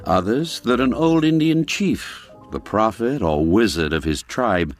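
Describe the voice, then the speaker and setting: Deep-voiced, slow male storyteller over music. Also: animated. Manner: measured, deliberate phrasing with dramatic pauses. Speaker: audiobook narrator. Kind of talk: scripted storytelling narration.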